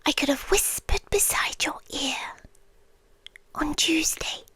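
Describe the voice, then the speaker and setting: A British woman playing an obsessive, possessive girlfriend in an ASMR roleplay, whispering softly and intimately close to the microphone. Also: high-pitched, no echo, heavily acted. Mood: possessive, soft menace. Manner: slow, breathy, intimate whispering mixed with soft voice. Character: obsessive, possessive yandere girlfriend lurking under the bed. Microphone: close, dry microphone.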